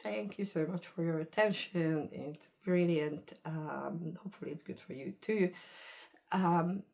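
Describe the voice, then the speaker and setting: A woman's voice: lowish voice, a little slow, vocal fry, a little mellow. A woman from Hungary, talking in a rambling, hesitant monologue to camera and hedging as she goes. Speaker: vlogger.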